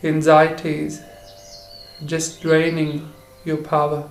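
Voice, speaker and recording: A man guiding a meditation for relaxation and sleep, speaking in short, halting phrases. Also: a little high, monotone, gravelly. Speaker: meditation guide. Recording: roomy echo with faint insect noise.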